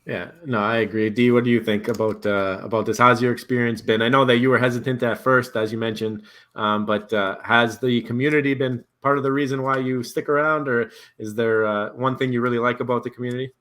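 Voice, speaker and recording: A man hosting a casual video call chat, relaxed and rambling, laughing easily. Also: medium pitch, brisk. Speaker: host.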